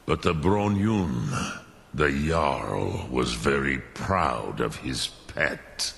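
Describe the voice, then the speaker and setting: A man voicing an ancient, wise dragon mentor in a fantasy video game, gravelly, ponderous and musing, with pauses and dragon-tongue words. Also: very deep voice, animated, fairly bright tone, exaggerated voice.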